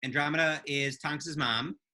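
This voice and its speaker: Very bright, forceful man chiming in. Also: fairly high pitch, fairly even intonation, a little slow. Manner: offhand asides and clarifications. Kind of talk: casual chat.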